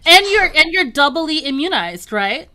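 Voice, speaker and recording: An upbeat, expressive American woman chatting casually as a talk show co-host. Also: high voice, measured pace, background noise. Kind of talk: casual chat.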